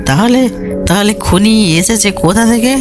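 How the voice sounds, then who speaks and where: Audio-drama colonel, acted by a male narrator: pitched up, anxious, stammering, easily astonished, music underneath. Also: unhurried. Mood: alarmed. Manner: stammering, repeats his questions.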